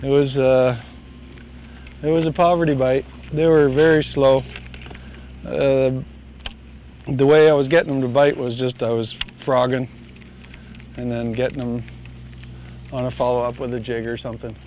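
A man's voice: mid-range voice, little pitch movement, moderate pace, pauses often, darkish tone. Laid-back thirties male angler, casual on-boat chat, clip mic outdoors, faint noise behind. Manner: easygoing, chuckles, talks to the fish. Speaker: tournament bass angler. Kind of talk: casual on-water commentary. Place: outdoors on a bass boat on a lake.

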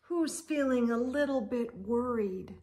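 A middle-aged woman hosting a children's storytime, reading aloud expressively with drawn-out words and gentle asides. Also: fairly high pitch. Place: indoor room lined with bookshelves.

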